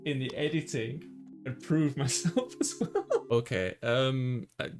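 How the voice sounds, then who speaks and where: A man hosting a let's-play, excitable and flustered, repeating himself in playful banter. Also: medium pitch, measured pace, soft background music. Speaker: gaming host and video editor.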